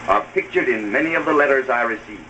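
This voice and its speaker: A male host with a medium-pitched voice gives a formal, oratorical 1930s radio address. The old soundtrack is dull and noisy. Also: fast talker. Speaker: host and advice giver.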